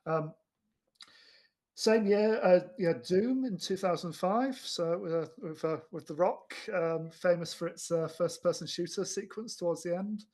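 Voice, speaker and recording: A man's voice: high voice, measured pace, darkish tone. A man chatting casually as a podcast host about films, with a hesitant delivery full of repeated restarts. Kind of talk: casual chat reviewing films.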